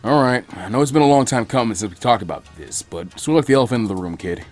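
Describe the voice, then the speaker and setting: A man's voice: medium pitch, lively intonation, racing, faint music behind, natural voice. A man voicing an easygoing older male ninja mentor with a lazy drawl. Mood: laid-back. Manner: lazy, laid-back drawl.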